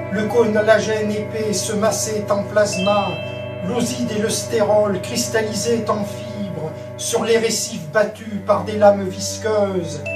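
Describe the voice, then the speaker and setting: A woman reciting an epic poem as a solemn declamation, with grave, measured and emphatic phrasing. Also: medium pitch. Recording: roomy echo, distant voice, music. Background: prominent musical backing.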